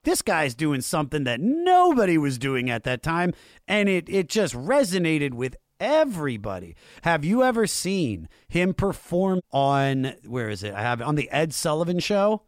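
A man's voice: on the high side, big pitch swings, normal speed, no echo, studio quality. A man hosting a casual music podcast, talking informally and with enthusiasm. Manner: informal, enthusiastic, uses slang and swearing. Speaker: podcast host.

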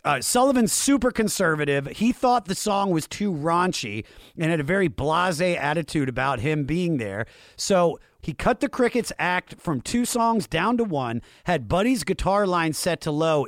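A man's voice: a little high, expressive, normal speed, dry recording, studio quality. A man hosting a casual music podcast, talking informally and with enthusiasm. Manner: informal, enthusiastic, uses slang and swearing. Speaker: podcast host.

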